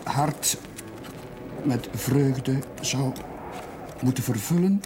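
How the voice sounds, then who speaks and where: An actor playing an earnest, troubled young chaplain in a television period drama, speaking in a deliberate, declamatory way. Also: male voice, mid-range voice. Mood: brooding, declaiming. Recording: clearly noisy old television soundtrack.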